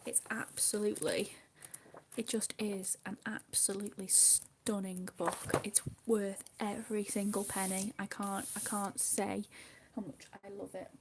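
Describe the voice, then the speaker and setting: Soft-spoken woman, half-whispering. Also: medium pitch, flat delivery, measured pace, noisy background. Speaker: livestream host. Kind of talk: casual chat while colouring.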